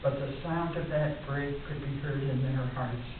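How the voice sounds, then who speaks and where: An older woman reads her poetry live at a lectern, with informal spoken asides in an expressive, wryly humorous manner. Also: a bass voice, moderate pace. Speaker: poet reading her work to a conference audience. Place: indoor conference room, at a lectern. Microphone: distant camera in the audience. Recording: distant, echoey, muffled narrow-band with hiss.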